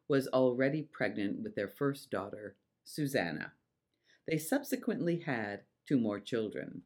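A presenter giving scripted narration and reciting verse in a measured, deliberate, theatrical way. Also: medium pitch, darkish tone. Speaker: presenter and reader.

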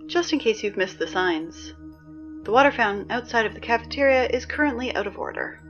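A woman playing a reluctant, easily flustered high school announcer in an audio drama, awkward and deadpan with weary, exasperated asides. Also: a little fast, dull audio, music in the background, close to an everyday voice. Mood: weary, uneasy.

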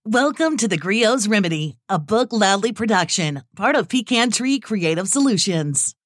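A woman's voice: medium pitch, lively intonation, normal speed, no echo, studio quality. A woman announcer reading a scripted podcast introduction.

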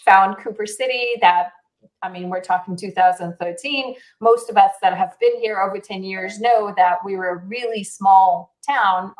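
A woman's voice: mid-range voice, low-quality recording. Chatty woman with a light South African accent. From Israel. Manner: chatty, laughs easily, many fillers. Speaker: realtor and guest. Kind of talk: casual interview answers.

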